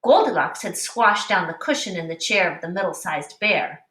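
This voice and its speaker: A woman teacher reading a picture book aloud to young children with deliberate, explanatory expression. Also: lowish voice, lively intonation, sharp tone. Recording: echoey room, distant mic.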